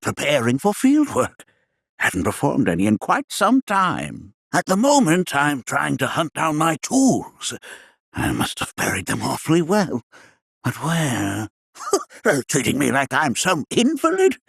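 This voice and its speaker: A man playing a whimsical, absent-minded elderly scholar in a video game, raspy and creaky, speaking in formal, old-fashioned phrases. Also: a little high, wildly swooping pitch, a little slow, fairly bright tone, no room sound, clean studio sound, exaggerated voice. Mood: fretful, absent-minded, then jovial. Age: older. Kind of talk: video game character acting.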